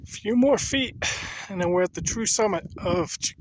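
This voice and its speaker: A man narrating his hike to the camera in a chatty, casual way, a little shaky as he climbs, recorded outdoors on a rocky mountaintop with a handheld camera. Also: sits high. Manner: chatty, unhurried, talks to the camera while climbing. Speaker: hiker filming his climb. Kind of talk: casual trail vlog narration. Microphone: handheld camera on a pole. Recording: loud wind noise on an outdoor camera mic.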